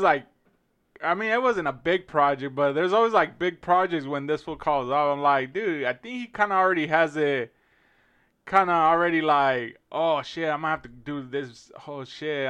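Male co-host, soft and smooth voice, relaxed slangy delivery. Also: a little high. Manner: soft-spoken, relaxed, drops in slang and swearing. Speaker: podcast co-host, tech worker. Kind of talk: casual chat.

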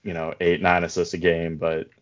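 A man hosting a casual basketball podcast, his voice hoarse and worn, talking in a hesitant, low-key way with many pauses and restarts. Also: completely flat delivery. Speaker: basketball draft podcast host; coach. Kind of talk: casual sports analysis chat. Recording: slightly dull lo-fi audio.

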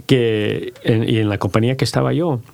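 A middle-aged man, a podcast guest who talks in halting bursts with many restarts and slips into English. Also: mid-range voice, fairly even intonation, vocal fry, darkish tone, slight background noise. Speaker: podcast guest, company founder. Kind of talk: casual bilingual interview.

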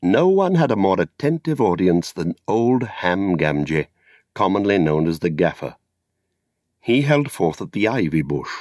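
Deep-voiced British storyteller, expressive and dry-recorded. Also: male voice, no echo. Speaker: audiobook narrator. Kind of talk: scripted literary storytelling narration.

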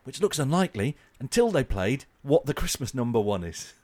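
A man's voice: medium pitch, very animated, a little fast, a little mellow. A man co-hosting a podcast in casual comic chat, with a joking, self-mocking manner. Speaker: podcast co-host.

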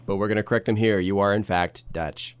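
A man's voice: lowish voice, steady tone, brisk, clean tone, dry recording. Dry-sounding man hosting interviews. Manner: upbeat, punchy questions. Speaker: interview show host.

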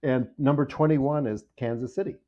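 A man's voice: medium pitch, steady tone, moderate pace, narrow, tinny audio. A man chatting casually and playfully as a podcast guest, with a joking manner. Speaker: podcast guest, children's book author. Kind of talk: casual chat.

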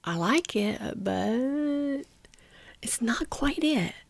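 A woman's voice: high-pitched, expressive, extremely slow, vocal fry. A woman singer talking in a reflective, earnest way during a casual chat.

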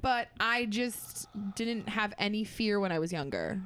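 A woman guest on a casual comedy podcast, soft-spoken and giggly, telling stories about herself. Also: mid-range voice, animated, measured pace, smooth.

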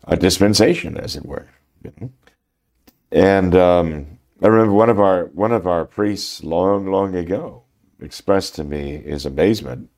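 An older priest talks in a gravelly, very low voice, roughened by a mild head cold. He speaks slowly and haltingly, filling gaps with ums and uhs. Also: darkish tone. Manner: slow, halting, many fillers. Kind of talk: unscripted radio talk.

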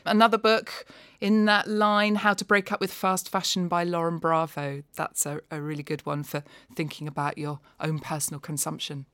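A woman's voice: sits low, level tone, moderate pace, few pauses, a bit bright, no echo. A woman giving a conversational, articulate and discursive review of books as an expert guest. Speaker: non-fiction books expert, podcast guest.

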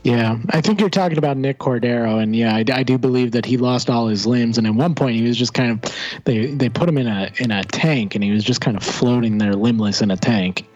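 A man's voice: mid-range voice, a little fast, a little mellow. Hesitant man on a dull line. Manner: hesitant, swears mildly often. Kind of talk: improvised comedy banter.

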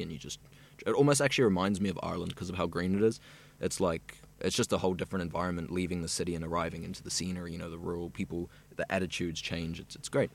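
A man's voice: low voice, gravelly. A young man from South Africa, a radio student chatting easily and at length in an interview. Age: young adult. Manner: chatty, rambling and easygoing. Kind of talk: casual interview chat.

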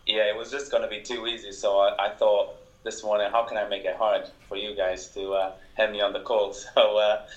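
A man with a Portuguese accent speaks in a low, flat voice. He talks haltingly, with plenty of uhs and ums. The sound is roomy, as if he were heard over a call. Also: dull audio. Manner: hesitant, lots of uh and um, self-deprecating. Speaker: software systems engineer, podcast guest. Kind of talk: casual chat on a call.

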